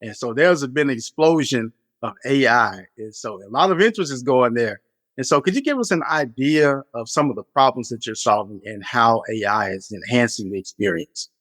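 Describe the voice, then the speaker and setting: A man's voice: mid-range voice, animated, normal speed, fairly bright tone, dry recording. A man hosting a business podcast, reading an upbeat scripted intro, then asking interview questions. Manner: upbeat announcer delivery in the intro. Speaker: podcast host.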